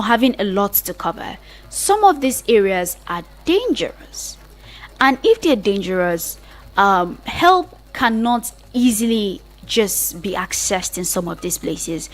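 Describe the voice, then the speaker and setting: A woman with a Nigerian accent presenting a live radio discussion as the host, in a measured, explanatory way. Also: medium pitch.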